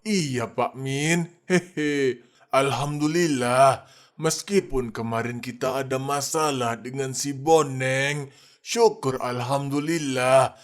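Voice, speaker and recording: A man voicing a calm, polite middle-aged male village cleric in a cartoon, speaking softly and hesitantly. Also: medium pitch, takes it slowly, close to an everyday voice. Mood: gentle. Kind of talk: cartoon voice acting.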